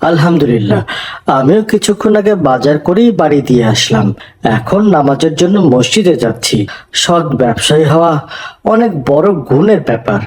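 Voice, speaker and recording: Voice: mid-range voice, animated, moderate pace, darkish tone, close to an everyday voice. A performer voicing a pious, kindly older uncle who instructs children in a cartoon. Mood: kindly instructive.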